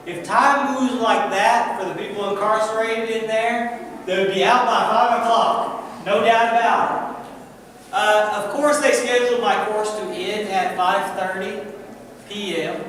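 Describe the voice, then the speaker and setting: Echoey, high-pitched American man giving a talk. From Missouri, United States. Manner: deliberate, dramatic repetition and short phrases. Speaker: artist and teacher giving a talk. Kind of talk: storytelling artist talk to gallery visitors. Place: indoor gallery, recorded from a distance. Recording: very echoey gallery hall, distant mic, noisy.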